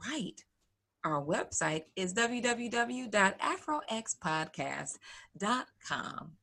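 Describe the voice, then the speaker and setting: A woman's voice: medium pitch, animated, slow pace, gravelly, pushed and bright. A woman co-hosting a podcast in a chatty, presenting style. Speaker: podcast co-host.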